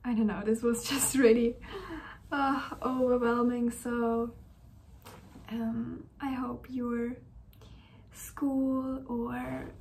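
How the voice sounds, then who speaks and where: A soft-spoken young woman chats through a personal diary vlog, her gentle, breathy voice often sinking to a whisper. She takes her time, unhurried and slow, and her pitch stays fairly level, sitting a touch high for a woman. There is a faint hiss behind her. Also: little pitch movement. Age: young adult. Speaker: university student vlogger. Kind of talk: casual diary-style vlog chat.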